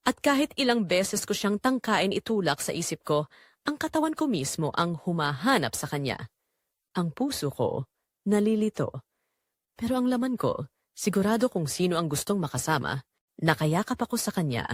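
A woman's voice: lowish voice, very animated, normal speed, no echo, clean studio sound. A woman narrating a scripted, confessional first-person story. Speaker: narrator of an audio drama, reading as the wife.

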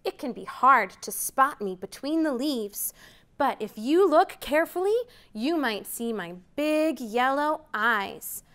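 A woman's voice: sits high, lively intonation, measured pace, fairly bright tone. A woman in her thirties reading a picture book aloud to children in a library room, counting up with big rising calls. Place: library program room, indoors.

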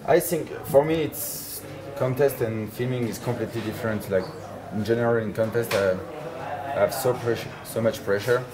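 A young Frenchman speaks English with a French accent, pausing often between short, easygoing phrases. His voice is mid-pitched, and a murmuring crowd is heard behind him indoors. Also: poor audio. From France. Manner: halting, relaxed, short answers. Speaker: pro skier. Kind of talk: casual chat. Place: lodge room with trophies, indoors.

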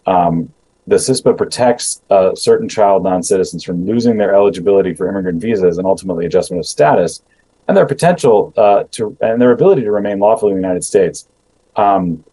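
A middle-aged man speaks as a government official over a video call. His voice sits low and mellow with a husky edge. He talks in a measured, halting way, full of ums and restarts. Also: rough recording. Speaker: immigration agency official. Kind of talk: prepared remarks and Q&A answers. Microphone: video call webcam.

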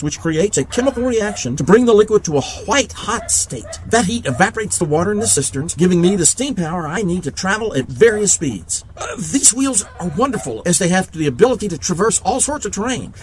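An actor in an audio drama playing an eccentric, eager genius mechanic in a wheelchair, wordy and precise. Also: male voice, sits high, expressive, brisk, hardly any pauses, slight background noise, poor audio. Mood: proud, eager.

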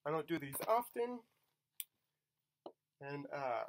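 A young man with a cold chatting excitedly through a toy unboxing at home, recorded on a camera mic. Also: fairly high pitch, slow pace, background noise. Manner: excited, chatty, self-deprecating. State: coming down with a cold. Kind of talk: casual unboxing commentary. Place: small home room, bedsheet backdrop.

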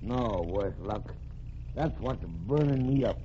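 An actor playing a gruff, blunt police officer in 1940s radio drama style. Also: male voice, mid-range voice, expressive, slow, dark tone. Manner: blunt, gruff working-class talk. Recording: dull lo-fi old radio recording with faint hiss.

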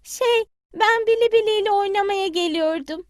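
A woman's voice: extremely high pitch, normal speed, no echo, studio quality, voice pushed up. A woman voicing a polite, well-mannered young duckling child in a children's cartoon. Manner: polite, bright.

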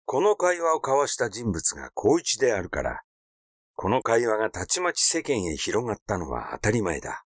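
A man's voice: on the low side, huge pitch swings, moderate pace, no echo, clean studio sound. A man reading a literary mystery story aloud as its narrator. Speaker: audiobook narrator.

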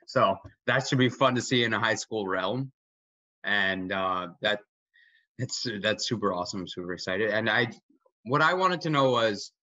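20s guy, actor, eager rambling question, bright tense voice, dull dry call audio. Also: fairly low pitch, lively intonation, moderate pace, no room sound. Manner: eager, long winding question. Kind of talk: audience question on a video call. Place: home kitchen. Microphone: video call.

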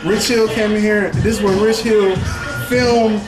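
A man's voice: sits high, steady tone, unhurried. A young man talking slangy, laid-back hype to a camera inside a shop. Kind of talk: casual hype talk to camera. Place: small clothing shop, indoors. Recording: roomy, loud music over the voice. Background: loud music.

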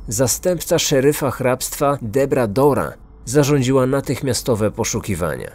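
A man's voice: medium pitch, lively intonation, a little slow, darkish tone. A man hosting a true-crime podcast, reading a scripted narration.

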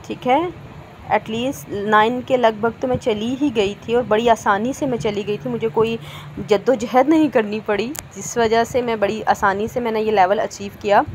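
Woman salon stylist, slightly high-pitched, chatty how-to delivery, phone mic with faint noise. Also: low-quality recording. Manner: chatty, repeats "theek hai ji" often. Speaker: hairstylist and channel host. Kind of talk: casual how-to tutorial narration. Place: hair salon.

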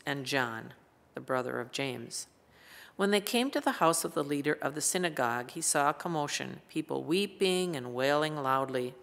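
Low creaky older American woman reading scripture. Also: a bit bright. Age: 70s. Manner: measured, reading from a page. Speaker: lay scripture reader. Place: church pulpit indoors. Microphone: gooseneck lectern microphone. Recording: reverberant church hall.

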